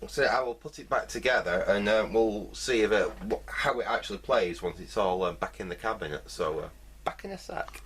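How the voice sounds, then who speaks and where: A man's voice: fairly low pitch, a little fast, a bit bright, slight background noise. A man giving a casual hands-on repair commentary, thinking aloud hesitantly in fragments. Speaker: host of a vintage electronics repair video.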